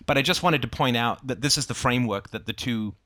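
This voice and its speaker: A man's voice: medium pitch, quick pace, pushed and bright. A man thinking aloud in an informal debate, explaining through hypothetical examples as he goes. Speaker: book club discussion host.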